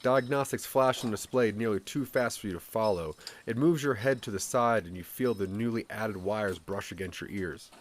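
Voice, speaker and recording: A man's voice: fairly low pitch, moderate pace, few pauses. A man narrating a scripted second-person audio drama in a dramatic storytelling delivery. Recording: music under the voice. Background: background music.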